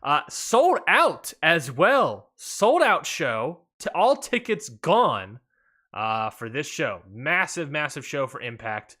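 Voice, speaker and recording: A man's voice: on the high side, big pitch swings, slow pace, sharp tone. A man hosting a wrestling podcast, recapping matches in an enthusiastic, rambling way. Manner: enthusiastic, rambling with fillers and restarts. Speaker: podcast host.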